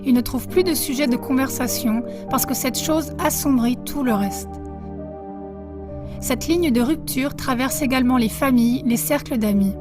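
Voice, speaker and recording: A woman journalist speaks in a calm, reflective way. Her voice is markedly high, and clearly audible music plays beneath her words. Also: normal speed. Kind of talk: voiced-over quoted statement.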